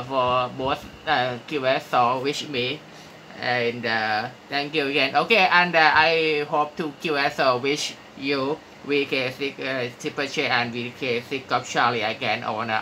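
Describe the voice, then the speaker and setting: A man with a Thai accent speaking halting, courteous English as an amateur radio operator, giving call signs and sign-offs. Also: medium pitch, fairly even intonation, pushed and bright, slight background noise, low-quality recording. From Thailand. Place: small room at a radio desk, indoors.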